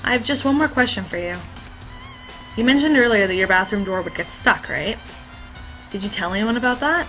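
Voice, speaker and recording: A woman voicing a cool, analytical teenage girl detective who asks calm, probing questions. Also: medium pitch, brisk, long pauses, soft background music, lightly acted, rough recording.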